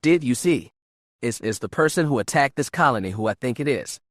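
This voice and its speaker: A man's voice: mid-range voice, lively intonation, brisk, a bit bright, dry recording, clean studio sound, close to an everyday voice. A man voicing a cold, determined female colonel and veteran sniper with a clipped, stern manner. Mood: impatient.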